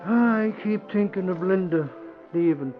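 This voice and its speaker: High-voiced naive newsroom sidekick. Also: male voice, unhurried, faint music behind. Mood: glum, puzzled. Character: naive, excitable, dim lovestruck newspaper man. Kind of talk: 1940s radio drama comic relief. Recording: muffled vintage radio broadcast.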